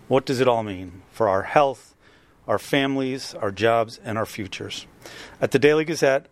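A man, a newspaper editor, reading a scripted announcement. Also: mid-range voice.

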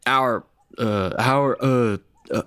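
Actor doing a super shy dwarf, slow, stammers through every line, radio comedy. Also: mid-range voice, fairly bright tone, clean tone. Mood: shy, hesitant. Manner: halting, stammering. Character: bashful, hesitant dwarf miner, painfully shy. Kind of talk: comic radio play acting.

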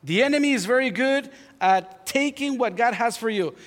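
A man's voice: very high-pitched, lively intonation, normal speed. A man in his forties from Honduras with a Honduran Spanish accent, preaching a sermon as pastor, joking about himself and building to fervent exhortation. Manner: warm self-deprecating humor, rising to fervent preaching. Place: church sanctuary. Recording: long hall reverb.

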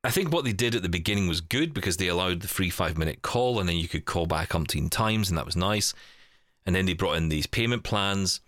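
A man's voice: sits low, fairly bright tone, dry recording, clean studio sound. A man hosting a casual podcast chat, speaking in a conversational, opinionated way. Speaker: podcast host.

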